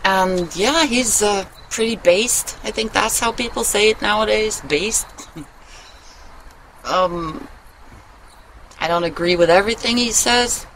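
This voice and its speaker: Middle-aged female vlogger, medium pitch, candid and rambling confessional chat, recorded outdoors with ambient noise. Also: slow, long pauses, fairly bright tone, rough recording. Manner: rambling, candid, swears casually. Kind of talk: casual confessional chat to camera. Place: outdoors in a wooden shelter in the woods.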